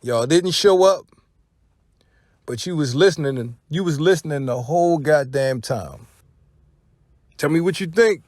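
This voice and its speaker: Higher-voiced American man, slangy commentary. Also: expressive, a little slow, pauses often, a little mellow, no room sound, clean studio sound. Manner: profane, slangy, rhetorical questioning. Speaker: commentary channel host. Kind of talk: casual hip-hop gossip commentary.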